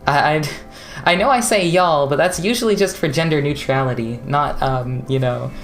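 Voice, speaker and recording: Streamer type: young West Coast Canadian woman, very deep voice, chatty and rambling, soft music under her. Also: moderate pace. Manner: chatty, rambling, laughs often, many fillers. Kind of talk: casual live-stream chat with viewers.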